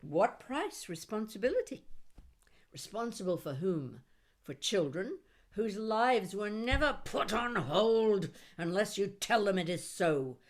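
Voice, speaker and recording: A woman reading her own poetry aloud in an expressive spoken-word style, with deliberate, dramatic phrasing and wry humour. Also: medium pitch. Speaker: poet reading own work.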